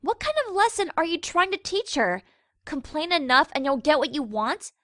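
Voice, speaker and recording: A woman's voice: sits high, sing-song, moderate pace, a bit bright, dry recording, clean studio sound. A woman playing an earnest young pony princess, exasperated and questioning, in a fan fiction audio drama. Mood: indignant.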